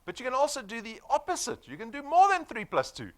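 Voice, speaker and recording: Animated South African man presenting, forceful voice. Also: very high voice, fast. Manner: emphatic, persuasive, rhetorical questions and tag "right?". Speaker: sales presenter. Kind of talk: motivational business presentation to an audience.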